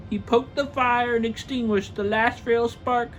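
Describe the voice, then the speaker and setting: A woman's voice: fairly high pitch, fairly even intonation, measured pace, dull audio. A woman narrating a storybook in a theatrical reading style. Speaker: story reader.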